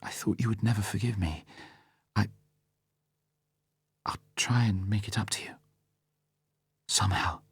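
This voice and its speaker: Audiobook: a guilty, fragile young woman, hushed and breathy, read by a man. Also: medium pitch, big pitch swings, unhurried, dry recording, voice pushed up. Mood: tearful. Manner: soft, breathy, often near a whisper.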